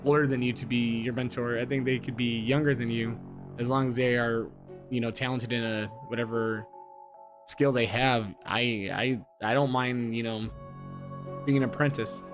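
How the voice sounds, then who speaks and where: A man in his twenties talks at a medium pitch, reading aloud and breaking off to comment. He rambles with lots of fillers and repeated words, often joking at his own expense. Music plays clearly beneath his voice. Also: steady tone, moderate pace. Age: 20s. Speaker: gaming streamer. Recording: music playing underneath.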